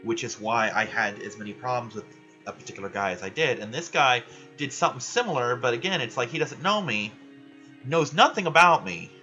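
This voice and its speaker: A young man ranting loudly and swearing in casual chat while he plays a video game. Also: mid-range voice, lively intonation, fairly quick, muffled recording, soft background music. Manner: loud, ranting, frequent swearing. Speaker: gaming vlogger.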